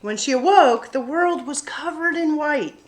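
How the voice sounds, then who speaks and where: A woman with a high, very lively voice reads a children's story aloud. She takes her time, shaping phrases deliberately and expressively, as a story-time reader does. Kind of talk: expressive picture-book read-aloud narration.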